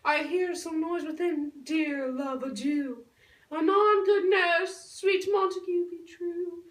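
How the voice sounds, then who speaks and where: A woman playing a lovestruck, earnest young noble girl in a puppet show, speaking Shakespearean verse in a theatrical, drawn-out way. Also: extremely high pitch, steady tone. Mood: urgent, loving. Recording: roomy echo, distant microphone.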